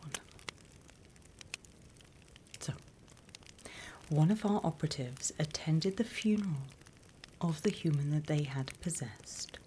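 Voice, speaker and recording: A British woman playing a sly demon instructor in a whispered ASMR roleplay, unhurried, wry and smugly scornful of humans. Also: low-pitched, slight background noise. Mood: calm, instructive. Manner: unhurried, hushed, teacherly, wry.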